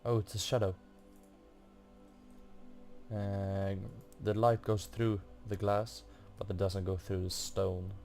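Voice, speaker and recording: A man's voice: sits low, level tone, takes it slowly, gravelly, pauses often, darkish tone, soft background music. A man giving casual gameplay commentary, thinking aloud in a hesitant, muttering way. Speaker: gamer playing through a quest.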